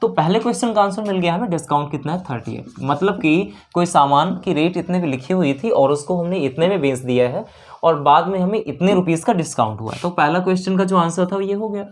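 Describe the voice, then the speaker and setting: A man's voice: high-pitched, expressive, brisk. A man teaching an exam-prep lesson step by step, repeating phrases and mixing in English terms. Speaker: maths teacher.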